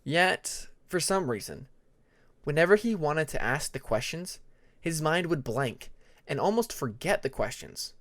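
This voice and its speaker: Male fiction narrator, slightly high voice, very lively intonation, deliberate dramatic delivery. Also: dry recording. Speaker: audiobook narrator.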